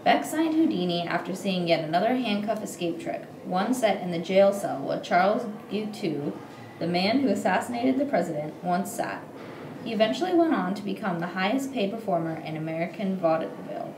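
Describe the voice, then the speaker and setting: A woman's voice: medium pitch, animated, fairly quick. A young woman reads a scripted history report aloud as a student presenter, occasionally stumbling over her words. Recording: roomy echo, clear background noise.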